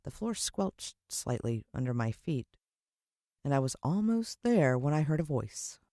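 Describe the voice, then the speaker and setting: An American woman narrating a children's adventure story in an expressive, suspenseful storytelling style. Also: rumbling low, unhurried, no room sound, studio quality. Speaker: audiobook narrator.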